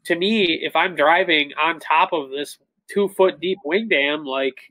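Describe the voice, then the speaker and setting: Bright, casual man on a video call. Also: a little high, measured pace, no echo, rough recording. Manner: relaxed, explanatory, frequent "like" fillers. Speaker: guest, tournament bass angler. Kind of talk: casual interview talk. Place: indoor room with shelves of tackle. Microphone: webcam on a video call.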